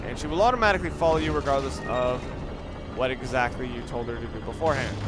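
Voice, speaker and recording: A man doing casual, rambling video game commentary, informal and opinionated, with some mild swearing. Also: a little high. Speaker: let's play commentator.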